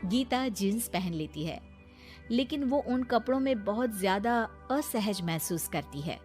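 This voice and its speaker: A woman delivering scripted narration as the storyteller of an animated tale. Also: fairly high pitch, a little slow, faint music behind.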